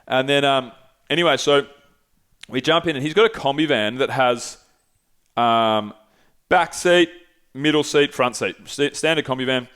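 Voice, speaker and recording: Brief: podcast host, 30s, loose storyteller, salty language, small studio. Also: male voice, mid-range voice, a little slow, pauses often, smooth. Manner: animated, swearing, gesturing. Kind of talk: casual storytelling. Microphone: headset with desk mic.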